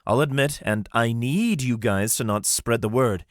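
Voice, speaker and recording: A man's voice: medium pitch, unhurried, no echo, clean studio sound, pitched above the performer's own voice, lightly acted. A man voicing an earnest young male alchemist explaining his plans.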